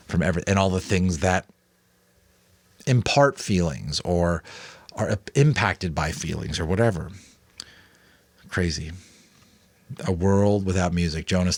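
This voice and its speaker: A man's voice: deep voice, no echo. A man narrating a book expressively, breaking off for casual commentary. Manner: casual asides between expressive reading. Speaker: amateur audiobook narrator.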